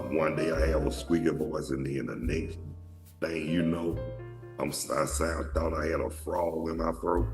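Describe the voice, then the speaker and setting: A man from Atlanta, hosting a show and telling stories in a rambling, profane way with lots of restarts. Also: gravelly. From Atlanta, Georgia. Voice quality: deep voice. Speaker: show host. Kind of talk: casual storytelling monologue. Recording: echoey room, mic at a distance.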